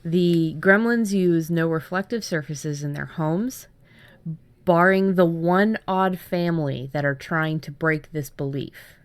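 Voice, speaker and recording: A woman's voice: fairly low pitch, slow pace, smooth. A woman co-hosting a writing workshop, casually chatting as she shares her story ideas. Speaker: writer and workshop co-host.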